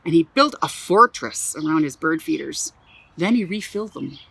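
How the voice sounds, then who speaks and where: Lively woman reading a children's story. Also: deep voice, moderate pace, creaky, slightly rough, no room sound. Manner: animated, with chatty asides. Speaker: museum storyteller. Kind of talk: reading a picture book aloud to children.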